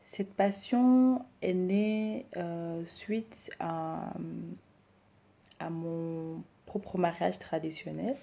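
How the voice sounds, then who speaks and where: A woman in her thirties, from Rwanda and raised in Belgium, introducing herself and her decor business, hesitant with many pauses. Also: lowish voice, steady tone, creaky, warm tone. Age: 30s. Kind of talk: personal introduction to camera.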